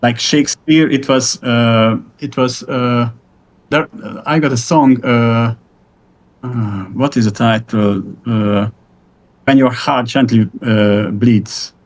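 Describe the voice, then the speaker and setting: A middle-aged man with a Hungarian accent, a native Hungarian speaker, talking haltingly in English on a video call and searching for words with many fillers. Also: medium pitch. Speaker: guest musician. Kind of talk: casual conversation.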